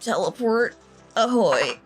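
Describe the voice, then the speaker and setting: A woman's voice: a little high, big pitch swings, slow, breathy edge, soft background music. A woman chatting casually as a player in a tabletop roleplaying game, informal and sweary.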